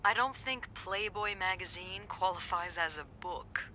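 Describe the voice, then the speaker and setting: Beth, wry teasing receptionist, lilt, low bright voice, faint hiss. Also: female voice, unhurried. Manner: dry, teasing, knowing. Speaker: hotel receptionist. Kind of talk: video game voice acting.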